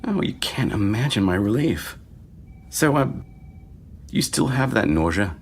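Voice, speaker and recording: A man playing a sardonic doctor in his fifties, deadpan and wry, in a screenplay reading. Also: a little slow. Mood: matter-of-fact. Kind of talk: screenplay table read. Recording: faint low rumbling noise.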